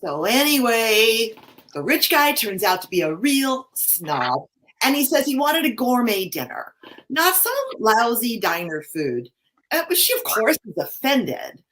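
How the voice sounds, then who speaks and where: A woman's voice: a little high, very animated, unhurried, a bit bright, slight background noise. A woman playing a sweet, sentimental theater club member, gushing and dreamy, in a community staged reading. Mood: eager retelling.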